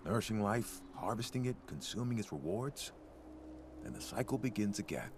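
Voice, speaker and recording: A man playing a gentle, timid, nature-loving ghoul gardener in a video game, speaking mildly, hesitantly and earnestly. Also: lowish voice, animated, normal speed, noisy background, lightly acted. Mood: serene. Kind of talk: video game character dialogue.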